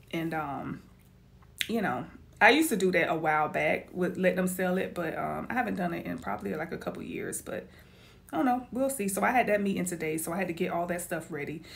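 A woman chatting casually to her viewers in a vlog, animated and talkative. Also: on the low side. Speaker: vlogger.

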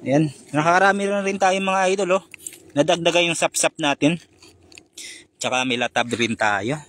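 Isang binatang nagla-live stream habang nangingisda sa gabi, madaldal at bumabati sa mga manonood sa pangalan. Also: male voice, fairly high pitch, moderate pace, a bit bright. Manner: chatty, greets viewers by name, laughs often. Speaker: fishing vlogger doing a live stream. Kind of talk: casual live-stream commentary. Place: concrete seawall at night, outdoors.

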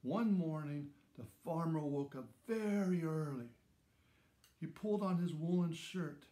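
A man in his sixties reading a children's story aloud in simple, measured phrases with dramatic emphasis. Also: fairly high pitch, dark tone. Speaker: storybook reader. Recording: roomy echo around the voice.

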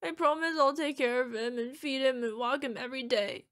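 A woman acts out a young orc girlfriend in an audio roleplay. Her voice is fairly high, and she is gushing, needy and playful. She is fierce yet cutesy, speaking intimately to a partner. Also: fairly quick, fairly bright tone. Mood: excited, playful. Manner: gushing, emotional, playful, talking to an unheard partner. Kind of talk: ASMR girlfriend roleplay acting.

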